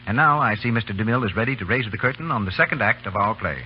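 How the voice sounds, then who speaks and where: A man's voice: on the low side, expressive, rapid-fire. A man reading a scripted commercial as a polished, persuasive announcer in old-time radio style. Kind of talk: scripted 1930s-40s radio advertising. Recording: muffled old radio recording, faint hiss.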